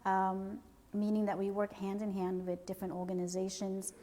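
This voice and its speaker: Measured woman, echoing hall. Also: mid-range voice, monotone, warm tone. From born in Cambodia, raised in the United States. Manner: measured and polite, many "um" pauses. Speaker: guest, company founder and CEO. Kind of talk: interview answers. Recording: long hall reverb.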